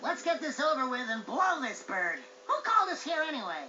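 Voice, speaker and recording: A man voicing a small, boastful, excitable male animal sidekick in a video game cutscene, telling things in a brash, theatrical way. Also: extremely high pitch, fairly quick, exaggerated voice. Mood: sardonic. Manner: brash, bragging, theatrical storyteller. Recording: dull game audio under music.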